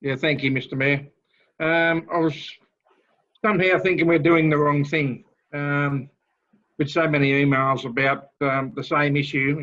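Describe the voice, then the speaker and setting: Brief: man councillor, a bit high, hesitant and full of ums, on a muffled meeting mic. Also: a bit bright. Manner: halting, lots of ums, conciliatory. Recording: dull lo-fi meeting audio.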